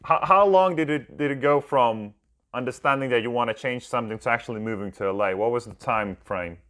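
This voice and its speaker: A man's voice: medium pitch, brisk, a bit bright. A man hosting a podcast, asking interview questions eagerly and often repeating words as he starts. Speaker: podcast host.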